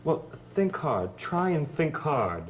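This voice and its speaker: An actor playing a bitter, protective grown son in a melodramatic gothic soap opera, pressing with accusatory questions. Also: male voice, on the high side, big pitch swings, slow, slight background noise. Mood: probing. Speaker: actor in a TV drama. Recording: old TV soundtrack with music behind.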